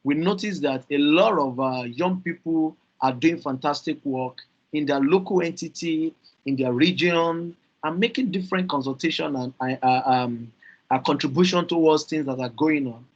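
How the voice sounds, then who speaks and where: A Nigerian man speaking earnestly on a video call panel, halting with fillers and repeated words, over dull lo-fi audio. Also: on the high side. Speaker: youth climate panelist.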